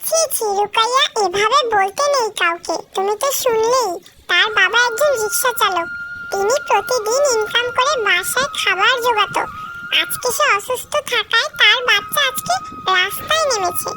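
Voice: very high-pitched, very animated, normal speed, music in the background. A performer voicing a kind, principled young child in a moral cartoon, speaking earnestly and preachily. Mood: earnest reproach.

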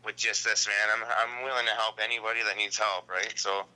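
A man's voice: on the low side, flat delivery, a little fast, pushed and bright, poor audio. A man chatting casually as a phone interview guest, slangy and emphatic. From Canada. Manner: slangy, emphatic, peppers talk with "man" and "right". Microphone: phone call.

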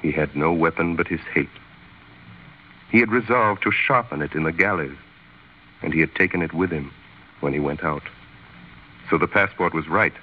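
An actor narrating a 1930s radio drama as a grave storyteller, solemn, deliberate and theatrical. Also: male voice, low voice. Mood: brooding intensity. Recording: dull, noisy 1930s radio recording.

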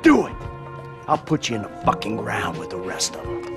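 A man's voice: fairly low pitch, wildly swooping pitch, moderate pace, music in the background. A man playing an angry, middle-aged criminal husband in a game, American-accented, profane, bitter and sarcastic.

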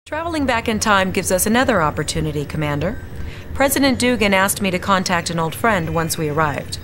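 A woman's voice: medium pitch, expressive, brisk, a bit bright, slight background noise, natural voice. An actress playing a young female military lieutenant in a game, giving formal briefings. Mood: grave. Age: young adult.

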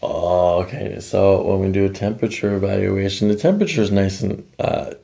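A man's voice: sits low, brisk, creaky, warm tone. A middle-aged man working as a chiropractor, talking through a clinical exam in a matter-of-fact way with clipped questions. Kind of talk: clinical consultation and exam commentary. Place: indoor chiropractic office. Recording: distant mic in an office.

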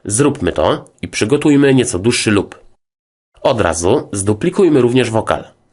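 A man narrating a scripted tutorial as a music software instructor, in a formal, methodical, explanatory way. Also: fairly low pitch, very animated, unhurried.

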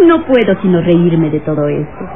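An actor playing a sly, mocking sidekick who taunts in an old radio drama. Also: male voice, a little high, lively intonation, moderate pace, crowd noise. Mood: sly taunting. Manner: taunting, sneering. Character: mocking, sly male sidekick needling the villain. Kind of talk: vintage radio drama acting. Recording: tinny old radio, background voices.

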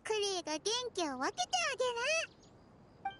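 A woman's voice: very high voice, big pitch swings, unhurried, heavy background noise, exaggerated voice. A woman voicing a cheerful, innocent little girl in an anime game, talking childishly and calling herself by name. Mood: sweet, eager. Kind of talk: Japanese anime game voice acting. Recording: music behind the voice.

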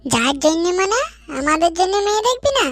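An eager young village lad in a comic cartoon, put on by a man, with an extremely high, squeaky voice. The sound is dry, with faint music. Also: big pitch swings, brisk, a bit bright, dry recording, exaggerated voice.

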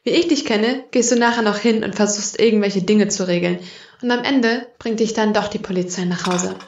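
A woman's voice: medium pitch, moderate pace, lightly acted. A woman playing a strict but teasing mother in a German audio drama, with dry, scolding delivery. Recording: dull, roomy, noisy recording.

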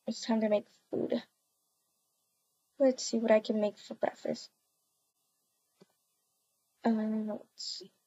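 A woman's voice: a little high, flat delivery, slow, long pauses, warm tone, no room sound, dull audio. A woman acting out a voiced video game roleplay.

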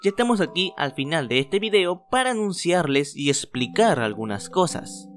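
A man's voice: fairly high pitch, moderate pace, soft background music. A man, casually talking to his viewers as a fan dubber. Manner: relaxed, friendly address to viewers. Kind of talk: casual video outro.

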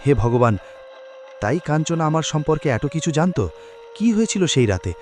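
A man's voice: fairly high pitch, sing-song, fairly quick, darkish tone, a little hiss or hum. A man playing a bewildered, pleading young man in a dramatic cartoon story. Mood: shocked. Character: bewildered, anxious young man haunted by lost love.

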